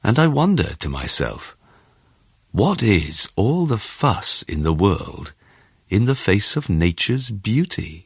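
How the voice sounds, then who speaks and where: A man narrating a first-person short story in a measured, expressive storytelling manner. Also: lowish voice, slightly rough, no echo, studio quality. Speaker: audiobook narrator.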